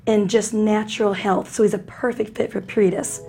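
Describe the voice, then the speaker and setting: A woman speaks at a middling pitch with very little melody. Her delivery is measured and promotional, like a company spokesperson. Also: flat delivery, music in the background. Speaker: company representative, guest. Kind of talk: interview.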